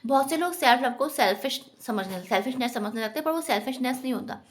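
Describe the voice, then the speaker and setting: A woman's voice: sits high, lively intonation, fast talker. A woman giving an earnest, instructive motivational talk directly to her viewers. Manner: earnest, instructive, often addresses listeners as friends. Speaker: self-help vlogger.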